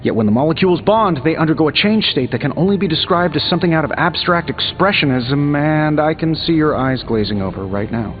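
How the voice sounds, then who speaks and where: An actor voicing a witty, cocky armored superhero inventor in a video game, glib and sarcastic. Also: male voice, fairly high pitch, animated, a little fast, few pauses, lightly acted. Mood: explaining, wry. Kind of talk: video game dialogue acting. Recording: slightly dull game audio under music.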